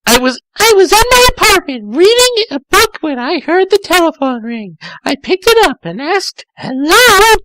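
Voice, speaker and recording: A man voicing an excitable, easily shocked young female cartoon character in an exaggerated shrill falsetto. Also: moderate pace, hardly any pauses, no echo, full character voice, low-quality recording. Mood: startled. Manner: exaggerated, dramatic.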